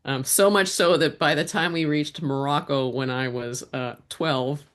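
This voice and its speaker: A woman's voice: low voice, steady tone, measured pace. A woman answering interview questions as a guest, in a reflective way with frequent restarts. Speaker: guest, actor and writer.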